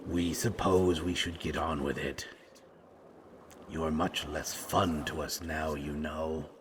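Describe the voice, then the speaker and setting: A man performs an eerie supernatural being from an audio drama, broken and speaking as many. The voice is very low and slow, parcelling out cryptic, formal fragments over clearly audible background noise. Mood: resigned. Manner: halting, cryptic, formal phrasing in short fragments. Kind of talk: scripted audio roleplay acting.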